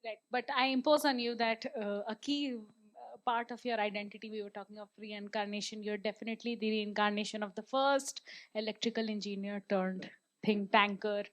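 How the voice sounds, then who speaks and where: A woman moderating a panel, brisk and lightly teasing as she hands over the floor. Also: medium pitch, fairly even intonation, lo-fi sound.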